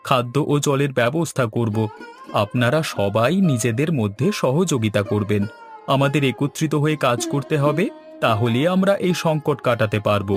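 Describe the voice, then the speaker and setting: A man's voice: on the low side, lightly acted. A man playing an earnest village leader in a cartoon, speaking in formal, rallying speeches. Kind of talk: scripted cartoon dialogue.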